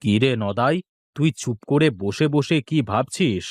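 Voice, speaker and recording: Casting: male actor as doubtful older-brother farmer, cartoon, mid-pitched, unhurried, plain-spoken, worried. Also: a little mellow, no echo. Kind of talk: Bengali comic cartoon acting.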